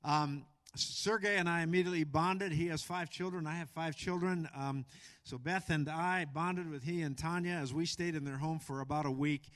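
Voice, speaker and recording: A middle-aged man, a pastor, introducing guests and leading a spoken prayer in church, pausing often with fillers. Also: a little high, fairly bright tone. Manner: halting, with frequent filler pauses. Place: church sanctuary.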